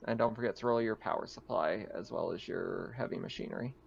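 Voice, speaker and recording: A man's voice: on the low side, quick pace, vocal fry, slight background noise. Lo-fi male game master, easygoing. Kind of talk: casual tabletop game narration.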